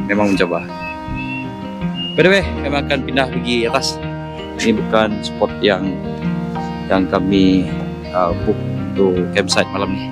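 A man narrating a casual camping vlog, informally mixing in English words. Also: lowish voice. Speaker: camping vlogger.

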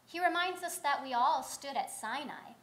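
High-voiced woman rabbi preaching a sermon. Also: expressive, fairly bright tone. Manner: thoughtful, measured preaching with rhetorical questions. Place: large wood-paneled synagogue sanctuary, standing at a lectern. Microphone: far fixed camera, lectern mic.

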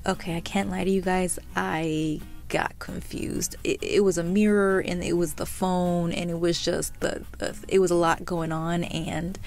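A woman's voice: fairly low pitch, level tone, measured pace, vocal fry, hardly any pauses, darkish tone, faint music behind. A young woman with an American accent talking casually to her viewers in a playful, chatty, conversational way as a vlogger. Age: young adult. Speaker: vlogger reviewing a hair salon visit. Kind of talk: casual vlog narration to viewers.

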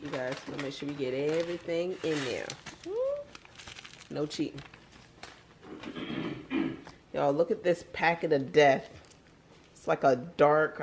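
A young woman with a slightly raspy voice, chatting excitedly to her viewers in a casual home vlog, filming from arm's length. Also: deep voice, noisy background. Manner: chatty, loud bursts of excitement. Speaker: vlogger. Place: home kitchen and dining table. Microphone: handheld camera at arm's length, later table camera. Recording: echoey room with background music.

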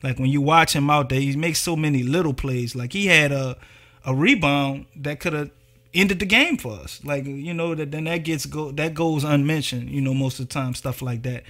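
A man's voice: fairly high pitch, normal speed, fairly bright tone. A man co-hosting a sports podcast, chatting casually with slang and lots of "like" and "man.".

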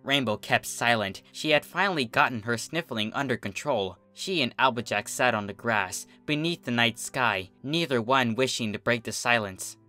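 A man's voice: mid-range voice, moderate pace, hardly any pauses, pushed and bright, soft background music. A man reading a story aloud as scripted narration. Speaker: fan fiction reader.

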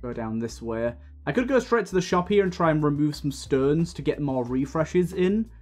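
Slightly raspy man, chatty game commentary. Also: on the high side, lively intonation, normal speed, a little mellow. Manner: chatty, thinking aloud with many fillers. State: coming down with a cold. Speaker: gaming video host. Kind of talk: casual let's-play commentary. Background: faint game music.

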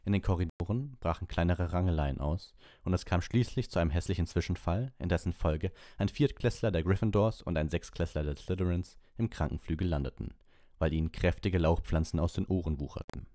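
A man's voice: deep voice, a little fast, warm tone, no echo, clean studio sound. A man reading an audiobook as narrator, in scripted storybook narration.

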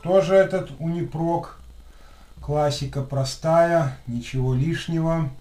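A man in his thirties with a lisp, giving casual, unhurried hands-on commentary as he works at a desk. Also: fairly high pitch, warm tone. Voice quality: lisping after dental work. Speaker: electronics repair technician and video host. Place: small room at a desk, indoors. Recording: roomy echo with clear background noise.

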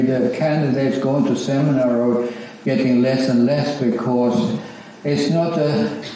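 A man's voice: mid-range voice, dark tone. An older man, a pastor, speaking informally to his congregation from the front of a church. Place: large church sanctuary, indoors. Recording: echoey church, distant microphone, background noise.

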